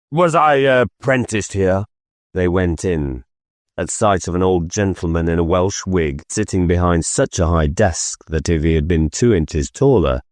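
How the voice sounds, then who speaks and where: A man voicing an old miserly man, exclaiming excitedly, in an audiobook. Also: deep voice, dry recording, studio quality, close to an everyday voice. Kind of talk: audiobook character voice.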